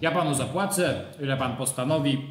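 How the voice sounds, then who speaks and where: A man's voice: medium pitch, brisk, close to an everyday voice. A man playing a pushy, fawning bureaucrat neighbour in a radio drama, talking in a wheedling way. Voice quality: chatty, wheedling. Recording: roomy echo with music behind.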